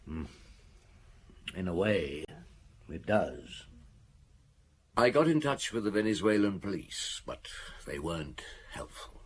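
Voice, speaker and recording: An actor playing a measured, brooding police detective in a radio crime drama, terse and probing. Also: male voice, low-pitched, very animated, breathy edge, exaggerated voice. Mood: brooding, doubtful.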